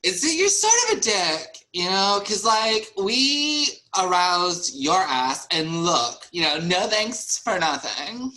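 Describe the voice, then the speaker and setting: A woman doing a slow, drunken, belligerent sister. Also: on the low side, animated, bright, forceful tone, exaggerated voice, rough recording. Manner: drunken, blunt, rambling. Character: incredibly drunk, belligerent younger-style sister. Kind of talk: staged reading of a comic play.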